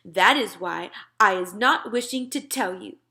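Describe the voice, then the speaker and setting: A woman voicing a gentle, secretive male giant from a children's storybook, with muddled grammar and whimsical made-up words. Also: mid-range voice, a little slow. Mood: confiding. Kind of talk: children's storybook read-aloud.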